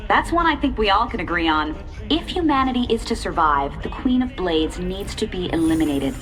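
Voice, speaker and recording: A woman playing a composed, earnest TV news reporter in a video game, crisp and measured. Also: on the low side, very animated, fairly bright tone, faint music behind.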